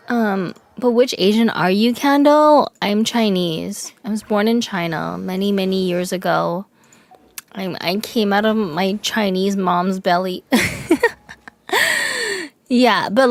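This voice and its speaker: A woman's voice: mid-range voice, vocal fry, no echo. Chatty young woman streamer, casual swearing. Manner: chatty, answers chat, swears, bursts of excited yelling. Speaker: VTuber streamer. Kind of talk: casual gaming livestream chat.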